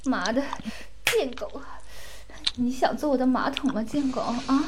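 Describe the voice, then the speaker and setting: A woman playing a domineering, scornful mistress who gives commands and taunts, sometimes dropping to breathy whispers. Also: high voice, a little slow, a little hiss or hum. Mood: commanding. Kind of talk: roleplay audio drama.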